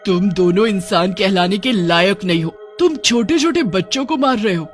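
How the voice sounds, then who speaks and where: Indignant female witch for a horror cartoon, played by a woman, righteous and dramatic, very animated delivery. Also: medium pitch, moderate pace, soft background music, lightly acted. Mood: angry.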